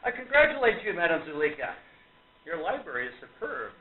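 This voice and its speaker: A proud, eager male stage magician played by an actor in a radio drama. His delivery is animated and emphatic, with earnest feeling. The recording is tinny and echoey. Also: on the high side, fairly quick, slight background noise. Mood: enthusiastic. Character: proud, boyishly enthusiastic male stage magician, warm to friends. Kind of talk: radio play acting. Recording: tinny phone-like, roomy recording.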